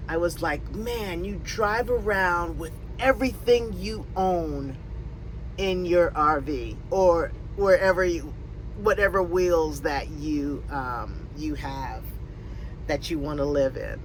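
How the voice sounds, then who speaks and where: Slow rambling woman in her fifties. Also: fairly low pitch, a little hiss or hum, low-quality recording. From Connecticut, USA. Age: 50s. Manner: rambling, many fillers and restarts. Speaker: vlogger answering viewer questions. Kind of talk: casual Q&A chat. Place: in or near her RV.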